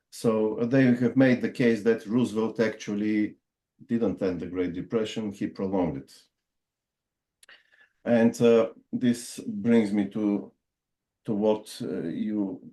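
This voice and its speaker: A man from Bulgaria with a Bulgarian accent, interviewing a guest in a measured, deliberate way. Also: lowish voice, dark tone. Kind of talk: interview discussion.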